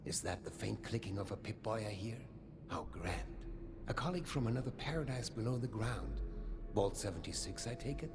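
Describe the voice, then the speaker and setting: Pompous german vault boss for a video game, creaky voice, super animated, courtly and flamboyant, music under it. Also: male voice, on the low side, moderate pace. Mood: charmed, intrigued. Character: suave, theatrical older male German vault overseer and tycoon. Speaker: vault overseer and businessman. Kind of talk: scripted video game dialogue.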